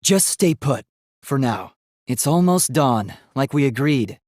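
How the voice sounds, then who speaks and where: Man voicing K1-B0, an animated, earnest young robot. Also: mid-range voice, measured pace, dry recording, studio quality, close to an everyday voice. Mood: solemn, resolute. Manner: formal, precise, literal-minded phrasing. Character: earnest, polite young male robot, naive but resolute. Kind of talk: video game voice acting.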